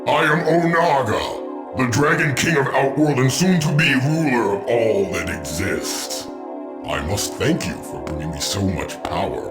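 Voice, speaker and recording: A man's voice: mid-range voice, expressive, unhurried, lightly acted. An actor playing a gloating demonic dragon king in a video game, imperious, pompous and theatrically menacing. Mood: triumphant. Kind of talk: dramatic game villain acting. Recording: echoing, loud music behind.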